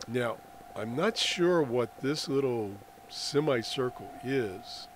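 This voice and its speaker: An American man giving an unscripted software walkthrough as a radio hobbyist, explaining in a halting way with trailing phrases. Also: mid-range voice, expressive. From United States. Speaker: amateur radio hobbyist presenting a tutorial. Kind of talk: unscripted software screen demonstration. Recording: clear background noise on voice-over.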